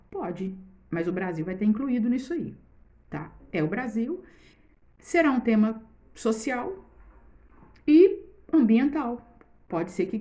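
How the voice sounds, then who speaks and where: A woman's voice: medium pitch, warm tone, muffled recording. A middle-aged woman from Brazil teaching an online essay-writing class in a casual, encouraging way, talking straight to her students. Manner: chatty, encouraging, addresses students directly.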